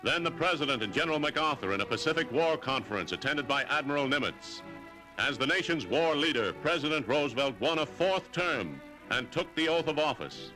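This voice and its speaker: A man's voice: on the high side, pushed and bright, rough recording. A man with an American accent delivers formal, declamatory scripted narration in the style of a 1940s newsreel. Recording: vintage 1940s newsreel soundtrack. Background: orchestral newsreel music.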